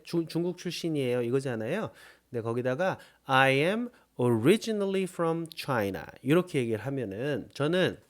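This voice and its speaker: Animated Korean man teaching English. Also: medium pitch. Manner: animated, slow deliberate English model phrases; checks in with listeners often. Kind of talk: lively recorded English lesson.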